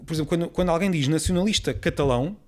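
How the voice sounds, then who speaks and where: Young Portuguese man, slightly high voice, rapid explanatory podcast talk. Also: a little mellow. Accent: European Portuguese. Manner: explanatory, many restarts and hesitations. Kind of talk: casual podcast discussion.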